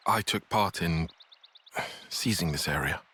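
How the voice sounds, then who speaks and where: A man acting a cautious, brooding young soldier in a video game cutscene, soft-spoken, pensive and measured. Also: sits low, slightly rough, no room sound, full character voice. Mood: measured, hesitant. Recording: music behind the voice.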